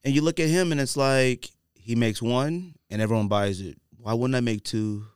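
An American man hosts a casual podcast in a medium-pitched voice. He talks in slang and tells things animatedly, voicing imagined quotes as he goes. The sound is dry, with little room in it. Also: normal speed, clear, resonant tone, no echo. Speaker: podcast host.

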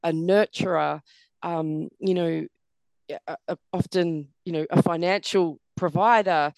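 A middle-aged woman, a podcast guest, speaking earnestly with a croaky, tired voice and lots of "you know" fillers. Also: on the low side, fairly even intonation, measured pace, darkish tone, no echo. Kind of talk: reflective interview conversation.

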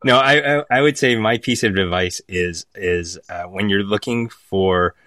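A man's voice: on the low side, unhurried, a bit bright, no echo. A man speaking as a playwright and guest in a casual panel discussion, emphatic and given to repeating phrases for effect.

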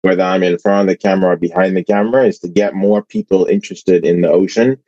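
Male guest, relaxed, very low and mellow, dull remote line. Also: fast, no echo. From the Bahamas. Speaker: interview guest.

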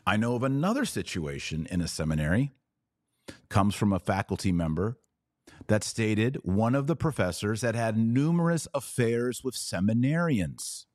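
Male podcast host, low voice in a dry, close space, emphatic informal commentary. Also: very animated, moderate pace, darkish tone, no echo. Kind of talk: informal commentary on a played clip.

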